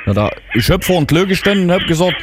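A man's voice: high voice, big pitch swings, fast talker, background noise. A man on a prank call plays a fretful middle-aged Berliner with a Saxon accent who complains in a flustered, rambling way. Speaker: prank caller.

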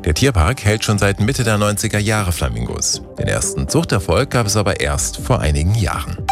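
Male newsreader, very deep voice, calm formal delivery, music bed underneath. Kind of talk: radio news bulletin.

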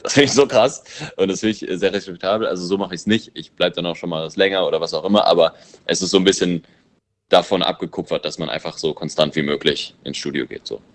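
A very low-pitched man whose first language is English talks about his music in a relaxed interview. He muses and thinks aloud, circling back on his points. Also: fast. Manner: loose, musing, thinks aloud. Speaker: musician and producer. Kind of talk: casual interview answers.

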